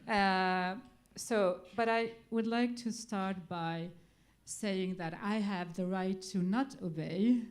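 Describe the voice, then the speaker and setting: A middle-aged woman with an Arabic accent addresses an audience in English, her third language. She pauses often, repeats words and gropes for phrasing. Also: fairly low pitch, a little mellow. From Iraq. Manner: hesitant, many fillers and restarts. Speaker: festival guest speaker. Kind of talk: spontaneous public talk.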